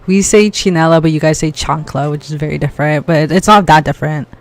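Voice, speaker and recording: Canadian woman streamer, casual chat, says like a lot, fairly low voice. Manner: chatty, lots of "like", quick laughs.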